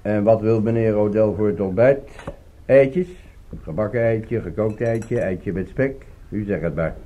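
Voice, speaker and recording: A man playing an eager-to-please servant in a radio play, with a fairly low voice. He is homely, deferential and chatty, with faint noise and clatter of dishes behind him. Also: a little slow, dark tone, lightly acted. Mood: obliging. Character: obliging, chatty male servant serving breakfast. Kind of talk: radio drama dialogue. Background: dishes and cutlery.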